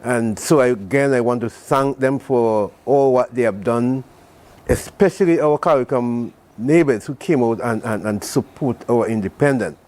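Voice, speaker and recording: A man's voice: medium pitch, normal speed, a little mellow. Older man, earnest and thankful. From Saint Vincent. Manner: earnest, thankful, repetitive phrasing. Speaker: president of a community association, guest. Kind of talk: morning TV interview.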